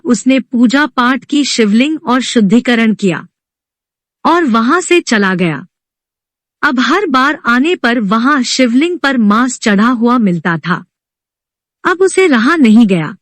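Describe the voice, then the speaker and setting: Slow, high-voiced woman storyteller. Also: animated, fairly bright tone, no room sound. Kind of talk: scripted devotional story narration.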